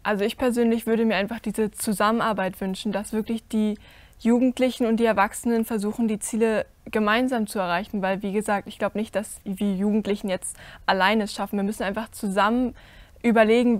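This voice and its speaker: A young woman answers an interview question earnestly and insistently. Her voice stays level in pitch with little rise and fall. The sound is close and dry. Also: little pitch movement, brisk, no room sound. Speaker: interview guest. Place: indoor stage hall with curtains.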